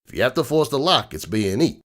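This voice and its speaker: A man playing a gruff, streetwise crook horse uncle who tells bedtime stories in a slangy, cynical, digressing way. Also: mid-range voice, big pitch swings, fast talker, lightly acted. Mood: wry, instructive. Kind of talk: dramatic reading of a comic bedtime story. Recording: music bed behind the voice.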